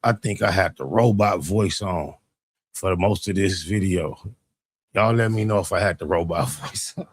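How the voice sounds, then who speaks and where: A man's voice: low voice, moderate pace, breathy edge. A man giving casual, slangy, unfiltered reaction commentary as a host, with some swearing. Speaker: host reacting to a narrated video.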